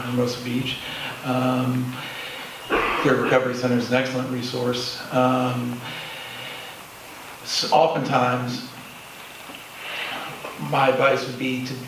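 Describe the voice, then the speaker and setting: A man answering as a panelist in a public discussion, speaking haltingly with many pauses. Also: medium pitch. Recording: distant mic, echoey room, noisy.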